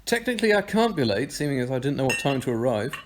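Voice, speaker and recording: A man's voice: mid-range voice, very animated, fast, lightly acted. Dry, bemused young man, played by a man. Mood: protesting. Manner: dry, hesitant, stammering. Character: bemused, dry young male newcomer, sleepy and sceptical. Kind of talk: audio drama acting.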